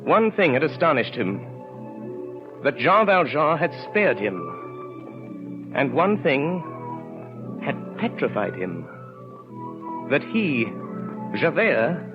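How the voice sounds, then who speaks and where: A man narrating a literary radio drama of the 1930s in a grave, theatrical manner with measured phrasing. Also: a little high, very animated, music in the background. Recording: muffled 1930s radio recording.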